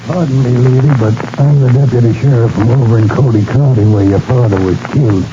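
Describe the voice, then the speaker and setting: A man's voice: mid-range voice, quick pace, lightly acted. An actor playing a dim, sullen henchman with slangy tough talk in a 1940s radio drama. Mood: gruffly businesslike. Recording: muffled, noisy 1940s radio recording.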